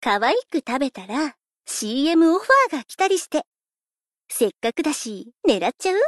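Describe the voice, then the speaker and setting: A woman's voice: sits high, sing-song, normal speed, no room sound, studio quality. A woman playing a playful, laid-back young pop idol in scripted game lines, coaxing and lightly teasing in a breezy way.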